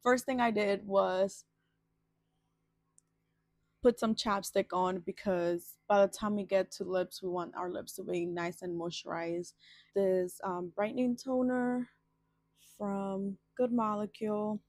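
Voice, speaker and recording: A woman's voice: mid-range voice, steady tone, takes it slowly, a little mellow, dry recording, clean studio sound. A woman in her twenties from the Dominican Republic, chatting casually and ramblingly as a vlogger getting ready. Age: 20s. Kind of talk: casual get-ready-with-me chat.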